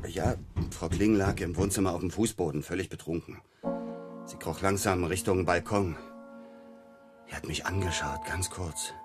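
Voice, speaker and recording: An actor playing an evasive, defensive, smug doctor with a drinking problem in a radio crime drama. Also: male voice, low voice, close to an everyday voice. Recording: music behind the voice.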